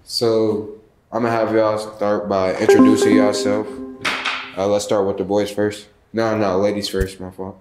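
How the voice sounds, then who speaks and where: A man hosting a casual dating show, relaxed as he asks the contestants questions. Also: lowish voice, normal speed, reverberant, loud music behind the voice. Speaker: host.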